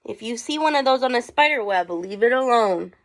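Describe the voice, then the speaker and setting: A woman's voice: on the high side, lively intonation, fairly quick. A woman teacher reading aloud to children, with chatty asides and questions for her young listeners.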